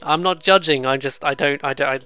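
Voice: mid-range voice, a little fast, bright, forceful tone, clear, resonant tone, no echo, soft background music. A let's play host commenting casually on a game, rambling and joking at their own expense while reading bits aloud. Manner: rambling, jokey, self-deprecating.

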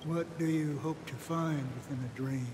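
An actor voicing a grave, cryptic man in a video game, speaking solemnly and deliberately with pauses. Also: on the high side, steady tone, background noise, lightly acted. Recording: long reverb with rain and thunder.

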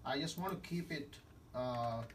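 A man's voice: medium pitch, a little slow, a little hiss or hum. A middle-aged man in a small office, thinking aloud through figures while typing at his computer. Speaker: real estate investor. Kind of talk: casual phone discussion. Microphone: far camera. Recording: distant mic, faint keyboard typing.

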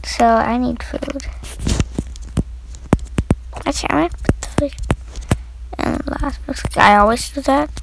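Young-sounding woman, high voice, rambling gameplay chatter, faint hiss. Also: vocal fry. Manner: rambling, halting, thinks aloud. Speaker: gaming video host. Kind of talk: casual gameplay commentary.

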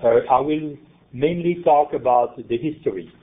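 A man from Belgium, a native French speaker, giving a conference presentation, talking haltingly with many fillers and restarts. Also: medium pitch. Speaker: conference presenter, center principal investigator. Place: conference room. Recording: tinny phone-like conference recording.

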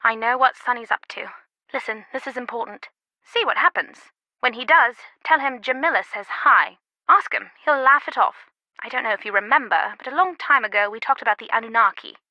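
A woman playing an earnest, slightly guarded and loyal confidante in a video game, speaking in a measured way. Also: fairly high pitch, pushed and bright, no room sound, poor audio. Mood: calm, informative. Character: earnest, secretive female phone confidante, loyal and concerned.

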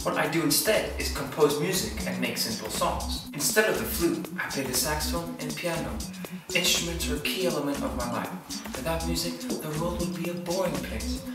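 A man's voice: on the high side, moderate pace. A teenage boy whose first language is Polish, presenting a school book report with playful asides, recorded in a small room on a camera mic. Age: teens. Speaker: student. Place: small indoor room, plain wall. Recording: echoey room, distant mic, music behind.

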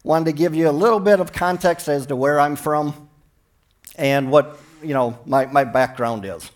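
Middle-aged American man, fairly high voice, conference keynote, chatty and relaxed. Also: moderate pace. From Minnesota, United States. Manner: friendly, conversational, with restarts. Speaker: conference speaker. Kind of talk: technical conference talk. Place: large conference hall stage.

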